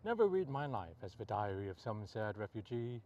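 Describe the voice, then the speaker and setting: A man reading poetry aloud as a poet, in measured, deliberate short phrases. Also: lowish voice, sing-song, darkish tone, lo-fi sound, slight background noise. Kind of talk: poetry reading, recited free verse.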